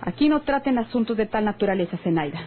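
A woman's voice: medium pitch, expressive, quick pace, slight background noise, natural voice. An actress playing a stern, formal young lady of the house in a radio serial. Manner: formal, commanding. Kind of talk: old Mexican radio serial acting. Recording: tinny old radio recording.

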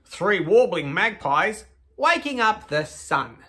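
A man with an Australian accent, playful and expressive, chatting casually and reading picture books aloud to children as a co-host. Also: sits high, slow pace. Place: indoor living room on a sofa.

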